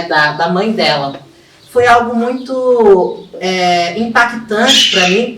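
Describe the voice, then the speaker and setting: Podcast interviewer: Brazilian woman, conversational and searching for words, away from the mic with clear noise. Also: mid-range voice, fairly bright tone. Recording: echoey, distant mic, clearly noisy.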